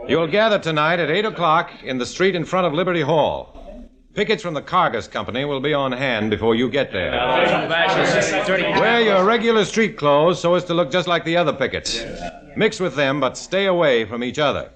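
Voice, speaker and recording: A man's voice: a little high, lively intonation, moderate pace, fairly bright tone, muffled recording. An actor playing a tough undercover agent who narrates his own story in a 1950s radio drama, with terse, hard-boiled delivery. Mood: commanding. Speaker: narrator and lead.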